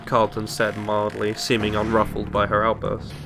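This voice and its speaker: Man, lowish voice, dramatic horror audiobook narrator, scripted reading, clear music bed. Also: monotone, normal speed. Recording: music under the voice.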